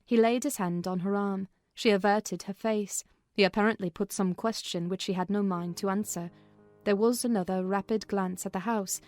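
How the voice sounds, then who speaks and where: A woman narrator with a mid-pitched voice reads a classic novel expressively. Soft music plays faintly behind her storytelling.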